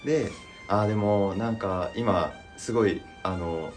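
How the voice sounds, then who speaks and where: A man's voice: on the low side, unhurried, faint music behind. A man in a casual creative chat, thinking aloud and acting out imagined lines as he goes. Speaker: podcast member discussing a voice drama plan.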